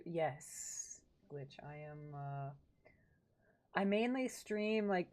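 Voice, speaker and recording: A woman's voice: deep voice, a little mellow. A woman casually chatting as she livestreams a game, rambling to viewers and to herself. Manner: rambling, talks to chat and to herself. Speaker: game streamer. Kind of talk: casual livestream gameplay chat.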